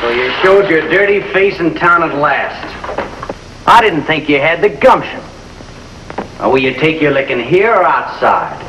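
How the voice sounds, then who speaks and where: An actor playing a hot-headed young rural bully in a 1950s television drama, sneering and goading. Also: male voice, fairly high pitch, very animated, unhurried, background noise. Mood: taunting. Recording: muffled, echoey old soundtrack with music.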